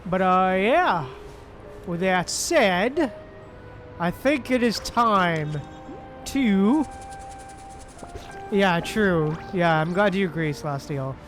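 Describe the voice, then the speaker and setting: A man chatting casually as he livestreams a game, with hesitant, drawn-out phrasing. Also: high-pitched, lively intonation, fairly bright tone. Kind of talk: casual livestream chat while playing. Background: game music.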